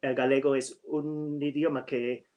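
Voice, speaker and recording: A man whose first language is English, a writer in a casual chat over a video call, speaking slowly and hesitantly with many fillers. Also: medium pitch, level tone, a little mellow. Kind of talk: casual conversation.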